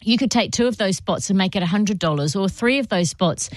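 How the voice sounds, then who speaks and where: A woman's voice: medium pitch, lively intonation, fairly quick, no room sound. A woman hosting a radio fundraising drive, upbeat and persuasive. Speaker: radio host. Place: radio studio.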